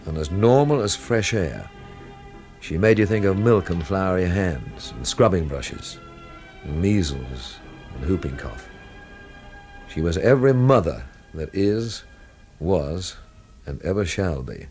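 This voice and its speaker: An actor playing a wry, world-weary investigator in a radio drama, with a dry, literary, laconic delivery. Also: male voice, low-pitched, lively intonation, slow pace, darkish tone, soft background music, exaggerated voice. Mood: wry reflection. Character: wry, world-weary male investigator narrating his own inquiry. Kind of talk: radio drama narration and dialogue.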